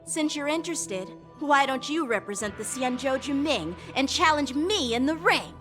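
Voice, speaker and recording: A woman voicing a cool, challenging young alchemist who speaks calmly. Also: high-pitched, normal speed. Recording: music clearly audible under dialogue.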